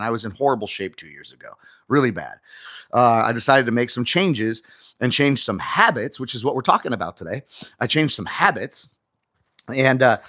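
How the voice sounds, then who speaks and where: A man in his forties talks casually to his viewers on a live stream while stretching in his garage gym. The sound is dry and close. His pitch sits at an average level, and he speaks conversationally and reads out comments as he goes. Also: fairly bright tone, no room sound. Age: 40s. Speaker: livestream host. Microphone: phone camera on an auto-tracking mount.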